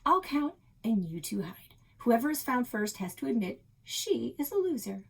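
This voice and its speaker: A woman's voice: a little high, very animated, normal speed, gravelly, husky, voice pushed up, heavily acted. Woman reading as a bossy, whiny young boy. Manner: grumbling, snorting, boastful. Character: smug, whiny indoor-loving young boy, bossy and competitive. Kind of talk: children's book read-aloud character.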